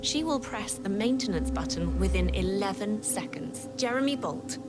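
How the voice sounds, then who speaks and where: An actress playing a confident, ambitious female tech chief in a video game, speaking in an articulate, measured and smug way. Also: medium pitch, lively intonation, loud music behind the voice, close to an everyday voice. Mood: smug, persuasive. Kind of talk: scripted video game dialogue.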